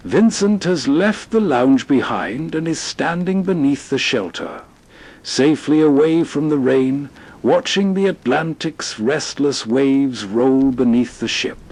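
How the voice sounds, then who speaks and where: A man's voice: mid-range voice, expressive, unhurried, darkish tone. A man hosting with scripted, scene-setting narration. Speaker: narrator host.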